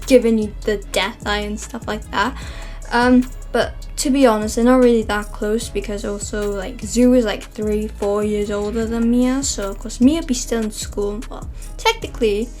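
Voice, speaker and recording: A woman's voice: a little high, moderate pace, a little mellow, faint music behind. A young woman from Wales, chatting casually as a channel host, rambling with many fillers and self-corrections. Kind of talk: casual chatty vlog narration.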